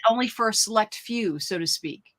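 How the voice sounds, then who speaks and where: Woman co-host, bright crisp voice, easygoing chatty delivery with fillers. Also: mid-range voice, expressive, fairly quick. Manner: chatty, upbeat, frequent fillers. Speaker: radio show co-host. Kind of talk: casual talk show chat.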